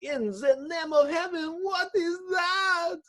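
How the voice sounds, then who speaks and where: Man playing a high-pitched, alarmed French soldier. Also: takes it slowly, a bit bright, full character voice. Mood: panicked. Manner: breathless exclamations, melodramatic. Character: panicked French male soldiers in the desert.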